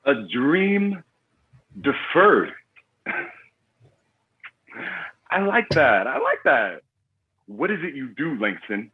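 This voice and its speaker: An actor playing a cocky, foul-mouthed crime boss with swaggering street talk in a screenplay table read. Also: male voice, on the high side, very animated, slow, pauses often, slightly rough, phone-quality sound, exaggerated voice. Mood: amused, sly.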